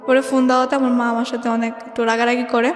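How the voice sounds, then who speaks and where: Young bangladeshi woman, really high voice, telling her story in a rambly everyday way, big echoey reverb. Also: little pitch movement, quick pace. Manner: rambling, colloquial, often recounts reported speech. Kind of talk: personal storytelling in an interview. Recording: echoey reverberant room, music underneath.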